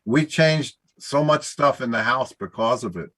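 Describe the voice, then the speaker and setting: A man's voice: medium pitch, expressive, a little slow, bright, forceful tone, no echo. A man hosting a live call-in show over a video call, chatty and joking. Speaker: host.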